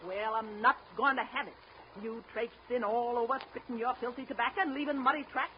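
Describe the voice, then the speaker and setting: Casting: actress for curt, domineering mother and boat boss, sharp-tongued, dull old radio sound with light hiss. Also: fairly high pitch, steady tone, a little fast, natural voice. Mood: scolding. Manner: scolding, bossy, curt. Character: domineering, sharp-tongued middle-aged riverboat widow and mother. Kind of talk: 1930s radio drama acting. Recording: dull, lightly noisy 1930s radio recording.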